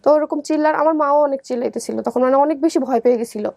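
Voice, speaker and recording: A young adult woman with a Bangladeshi accent telling her life story in conversation, halting and often repeating herself. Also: high-pitched, a little mellow, no room sound.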